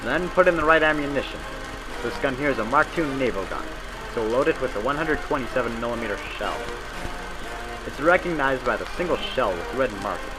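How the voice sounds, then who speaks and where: A man delivers scripted instruction in the manner of a 1940s navy training reel. His voice sits at a middling pitch, brisk and folksy as he explains things step by step. Music plays clearly underneath. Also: animated, poor audio. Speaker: training film narrator.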